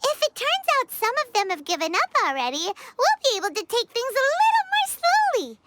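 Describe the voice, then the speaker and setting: A woman's voice: very high-pitched, huge pitch swings, fairly quick, heavy background noise, heavily acted. A woman voicing a tiny, cheerful, chatty childlike fairy companion in a video game, perky and talkative. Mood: excited, chatty. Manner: perky, refers to self in third person.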